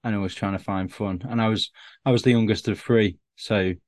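A low-voiced man in his thirties, speaking with a Scouse accent worn down by years away from Liverpool. He rambles and restarts as he chats, making fun of himself. The recording is close and dry, with no room sound. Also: fairly even intonation, a little fast, darkish tone, dry recording. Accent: Liverpool (Scouse), softened. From Liverpool, England. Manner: self-deprecating, rambling with many restarts. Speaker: stand-up comedian guest. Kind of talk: casual interview chat.

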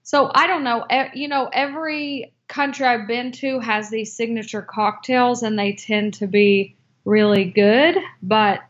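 A woman talks slowly and tentatively, restarting her sentences and filling the gaps with ums. Her voice sits a little high. The audio is muffled and lo-fi. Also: fairly even intonation. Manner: slow, hesitant, with many fillers and restarts. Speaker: guest, cocktail book author. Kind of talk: unscripted interview answers. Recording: dull lo-fi audio.

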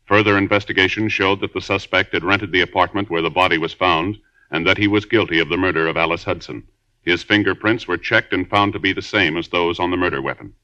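A male radio announcer reading closing credits and case results in a measured, formal broadcast manner. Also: lowish voice, pushed and bright. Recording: dull 1950s radio transcription with music.

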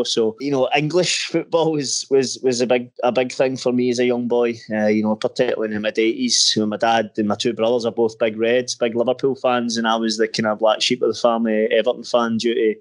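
A Scottish man in his thirties, a football coach, answering interview questions in a casual, hesitant way with lots of restarts and fillers. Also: mid-range voice. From Scotland.

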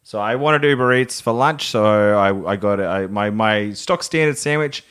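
A man's voice: on the low side, normal speed, a bit bright, clean tone. A man co-hosting a podcast, chatting casually in a goofy, rambling way and laughing often. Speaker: podcast co-host. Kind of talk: casual comedic chat.

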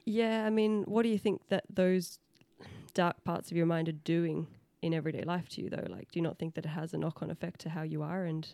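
A woman's voice: lowish voice, fairly even intonation, brisk, vocal fry, dark tone, no room sound. A woman, a podcast guest asking probing questions. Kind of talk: candid podcast conversation.

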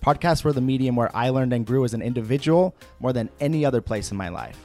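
A young man hosting a fitness podcast, talking in an upbeat, energetic way with plenty of fillers. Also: mid-range voice, fast, faint music behind. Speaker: podcast host. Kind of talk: casual podcast chat.

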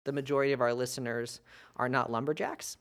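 A man's voice: medium pitch, quick pace, a bit bright. An American man in his young adult years co-hosting a podcast, casual and self-deprecating with lots of fillers and laughs. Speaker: podcast co-host. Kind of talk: casual chat.

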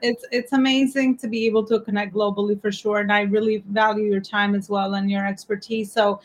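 A woman's voice: medium pitch, flat delivery, a little fast. A woman with an Albanian accent hosting an interview show over a video call, upbeat and enthusiastic. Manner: enthusiastic, repeats "amazing". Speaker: podcast host. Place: home office in New York.

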